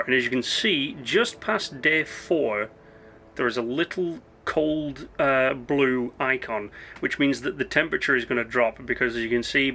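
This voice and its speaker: A hoarse man who has partly lost his voice, hosting a rambling, chatty let's-play commentary full of asides and self-corrections. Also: medium pitch, pushed and bright. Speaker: gaming channel host.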